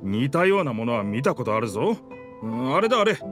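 A man voicing a proud, boastful talking pirate ship in a Japanese game dub, with theatrical, rough masculine speech. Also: mid-range voice, big pitch swings, fairly quick, fairly bright tone. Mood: blustering. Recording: music under the dialogue.

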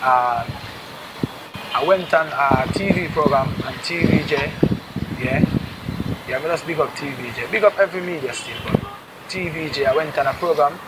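A young man with a Jamaican accent spins a long, rambling story in patois, his voice sitting a little high. He is recorded outdoors on a rooftop. Loud wind and passing vehicles run under every word. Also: normal speed, long pauses. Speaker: reggae singer, guest. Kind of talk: casual interview storytelling. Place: rooftop outdoors overlooking a city. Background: wind and traffic.

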